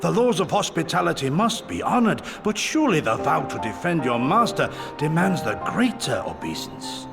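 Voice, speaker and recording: A man playing a hearty, honour-bound warrior retainer with a booming gravelly voice and formal archaic speech, in a video game. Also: sing-song, normal speed, few pauses, music in the background. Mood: stern. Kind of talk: theatrical video game voice acting.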